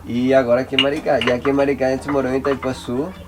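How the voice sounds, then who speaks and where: A man from Venezuela, speaking natively, telling his story slowly and with hesitations in a documentary interview. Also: mid-range voice, flat delivery, background noise.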